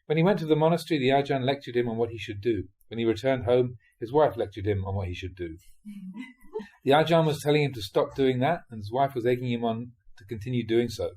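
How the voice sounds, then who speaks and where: A man reads a Buddhist teaching story aloud as a storyteller would. His mid-pitched voice moves around a lot, giving the narration a lively, animated delivery. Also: fast, poor audio. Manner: animated storyteller, acts out dialogue, often chuckles. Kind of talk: audiobook reading of dharma talk storytelling.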